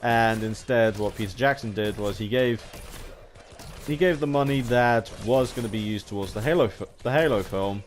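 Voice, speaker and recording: A British man giving a rambling video game commentary, haltingly, often repeating and rephrasing his phrases as he goes. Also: mid-range voice, a little hiss or hum.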